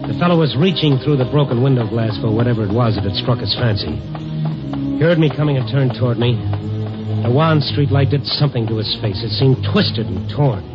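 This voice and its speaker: A man's voice: medium pitch, animated, normal speed, loud music behind the voice, lightly acted. A man playing a world-weary night reporter, narrating in a laconic, hard-boiled 1950s radio style. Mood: brooding. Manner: laconic, wry first-person narration. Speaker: lead actor of a radio drama. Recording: dull lo-fi old radio recording.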